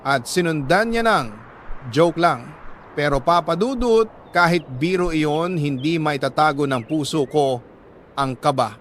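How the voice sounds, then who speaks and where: Filipino male radio storyteller, slightly high voice, emotive and deliberate letter reading, faint noise in the recording. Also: a bit bright. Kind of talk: dramatic first-person narration of a letter.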